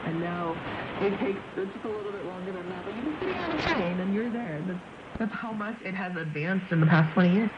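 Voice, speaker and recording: A woman's voice: mid-range voice, lively intonation, brisk. A woman talking casually and conversationally, as on a radio talk show. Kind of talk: casual travel chat. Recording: noisy, muffled shortwave radio reception.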